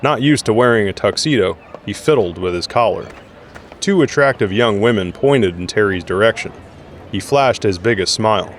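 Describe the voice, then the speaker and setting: A man's voice: lowish voice, expressive, normal speed, slight background noise. A man reading scripted narration for an audio drama. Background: music.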